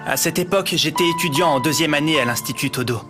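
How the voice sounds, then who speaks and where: A man's voice: fairly high pitch, quick pace, over music, close to an everyday voice. A young man voicing a polite, smitten student who also narrates, in an amateur cartoon dub. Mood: dreamy. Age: young adult. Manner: polite, earnest.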